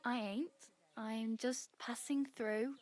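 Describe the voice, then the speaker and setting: A woman's voice: a little high, steady tone, slow pace, darkish tone. A woman playing a friendly, plucky young wandering beggar in a medieval video game, chatty and wry. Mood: matter-of-fact. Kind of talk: video game dialogue acting.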